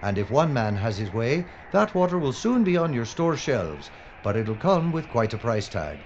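Lively, flippant film presenter. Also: male voice, mid-range voice, moderate pace. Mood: presenting. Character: flippant, complaining male documentary presenter. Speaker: actor in radio drama. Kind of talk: audio drama acting. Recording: muffled, noisy ambience.

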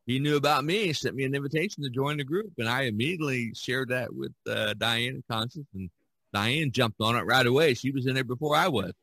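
Bright-voiced male podcast host, rambling. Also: medium pitch, breathy edge, no room sound. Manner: rambling, repeats himself. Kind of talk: casual podcast chat.

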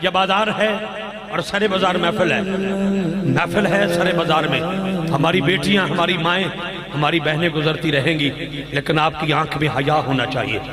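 A man's voice: a little high, fairly even intonation, moderate pace. A middle-aged Pakistani man, presenting at a religious gathering in devotional oratory, leading call-and-response with a rhythmic, rising, chant-like delivery into a handheld mic. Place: large decorated open-air gathering in a bazaar. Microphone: handheld stage microphone held close. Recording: echoey public address before a large crowd.

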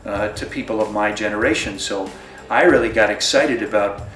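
A man's voice: lowish voice, normal speed, a bit bright, far from the mic. Older American male interviewee, sixties, reminiscing, roomy sound with faint music.

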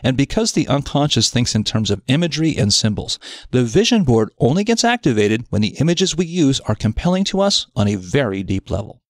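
A man reading a scripted self-development article aloud in a measured, articulate, explanatory manner. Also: mid-range voice, very animated, dry recording, clean studio sound. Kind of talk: scripted narration of an audio article.

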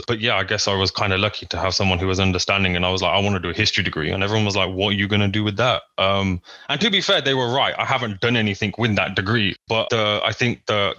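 A man's voice: on the low side, pushed and bright, muffled recording. A man hosting a podcast, chatting casually and asking questions with joking asides. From England. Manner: chatty, quick asides and self-deprecating jokes. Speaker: podcast host. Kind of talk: casual interview chat.

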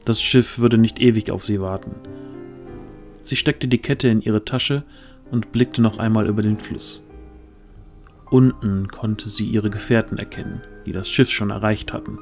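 A man's voice: fairly low pitch, fairly even intonation, a little slow, gravelly. A man narrating a fantasy audio drama as a scripted storyteller. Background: music.

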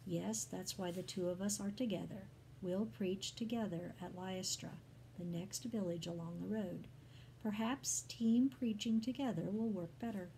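A woman voicing a calm, diplomatic male missionary in a story, with measured reasoning. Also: mid-range voice, gravelly, slight background noise, rough recording. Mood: calm, conciliatory.

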